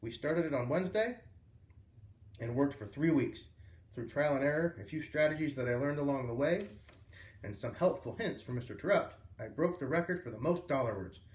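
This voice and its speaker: A man reading a children's book aloud as a storyteller, with expressive, emphatic phrasing. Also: on the high side, normal speed. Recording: roomy echo, distant microphone.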